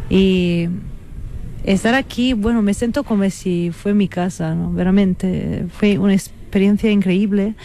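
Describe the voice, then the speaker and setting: Italian-accented woman, radio interview guest; slow, searching, earnest delivery over faint background noise. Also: mid-range voice, steady tone, mellow. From Italy. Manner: hesitant, searching for words, with many fillers and restarts; reflective and earnest. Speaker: guest musician.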